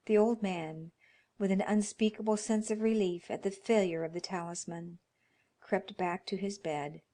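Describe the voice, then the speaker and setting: Slow-paced woman narrating a horror story. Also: lowish voice. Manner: dramatic, expressive, dropping to hushed tones.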